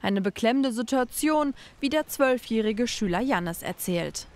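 A woman narrating a local news report in a measured, factual way. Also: on the high side, expressive.

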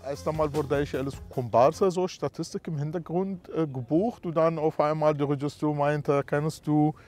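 A man speaking German as a second language, answering questions haltingly in simple sentences. His voice sits at an ordinary middle pitch, and faint music plays under him. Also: fairly even intonation, darkish tone. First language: not German. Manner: hesitant, simple phrasing with fillers. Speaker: film extra. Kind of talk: interview answers.

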